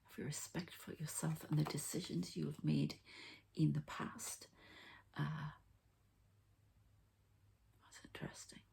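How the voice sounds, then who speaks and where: Woman, heavy vocal fry, soft dark tone, breathy ASMR whisper, hushed unhurried card reader. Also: deep voice, flat delivery. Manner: hushed, unhurried, murmuring to the listener.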